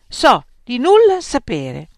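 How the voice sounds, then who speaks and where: Reader doing calm stubborn young student, raised pitch, firm, lively, dry sound. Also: takes it slowly, creaky, no room sound, full character voice. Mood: serene. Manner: serene, respectfully firm.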